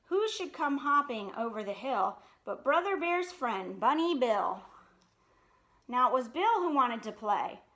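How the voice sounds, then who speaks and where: A woman reads a children's book aloud as a storytime host. Her voice is high and moves up and down expressively, with casual asides between passages. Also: big pitch swings, a little slow, sharp tone.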